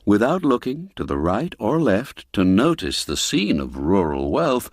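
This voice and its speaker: A man narrating a classic ghost tale in a theatrical, expressive storytelling manner, dwelling on the darker words. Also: fairly low pitch, measured pace, no echo, studio quality.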